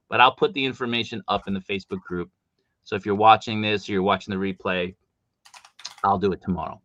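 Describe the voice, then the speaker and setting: A man hosting a live stream from home, chatty and animated, with a big voice and coming down with a cold. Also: fairly low pitch, smooth, no room sound, lo-fi sound. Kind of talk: casual live stream chat.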